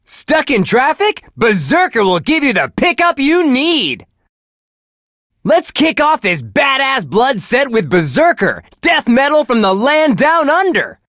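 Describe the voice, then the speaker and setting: Sunny male radio DJ, bright punchy voice, swooping intonation, gushing, upbeat video game host patter. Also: very high voice, huge pitch swings, measured pace, no echo, studio quality, heavily acted. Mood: chirpy, enthusiastic. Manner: gushing, sunny, wholesome delivery. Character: relentlessly cheerful male radio DJ for a death metal station.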